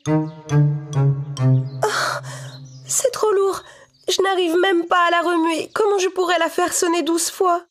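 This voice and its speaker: A woman's voice: extremely high pitch, level tone, moderate pace, music in the background, pitched above the performer's own voice. A woman voicing Cinderella as a sweet, earnest young princess in a children's cartoon story. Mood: straining, dismayed.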